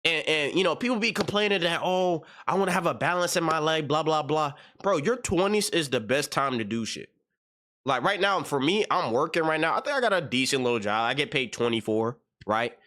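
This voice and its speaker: A man's voice: on the high side, animated, a little fast, a bit bright. A man in his twenties hosting a reaction channel, giving slangy, rambling commentary with many repeated words. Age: 20s.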